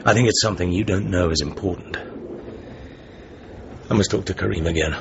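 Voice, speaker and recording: An actor playing a suave English secret agent in a radio drama, cool and guarded with a gravelly voice. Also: male voice, sits low, a little fast. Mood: probing, suspicious. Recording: dull lo-fi recording, background noise.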